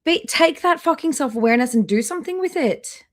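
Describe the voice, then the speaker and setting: A woman's voice: high-pitched, lively intonation, fairly quick. A woman hosting a live stream with casual reaction commentary, speaking in a blunt, skeptical way and swearing freely.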